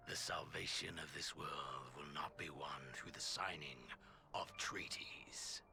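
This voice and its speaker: An actor playing a cold, menacing imperial warlord in a video game, speaking slowly and grandly in a breathy, gravelly near-whisper. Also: male voice, extremely low pitch, background noise, exaggerated voice. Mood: cold menace. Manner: slow, grandiose, archaic formal speech. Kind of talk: video game cutscene acting.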